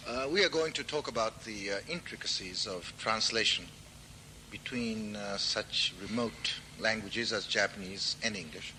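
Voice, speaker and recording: A man hosting a talk show, interviewing a guest politely and haltingly, with many fillers. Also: on the low side, sharp tone, slight background noise, rough recording.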